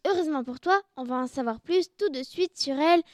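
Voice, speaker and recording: A woman's voice: high voice, a little fast, no room sound, clean studio sound. A young co-host of a children's radio show who reads the intro and teases her partner. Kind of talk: reading a script, then interviewing.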